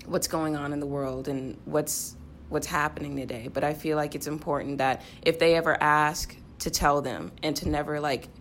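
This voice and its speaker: Low-pitched woman speaking earnestly. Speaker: podcast participant. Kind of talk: reflective group conversation. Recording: faint noise, room ambience.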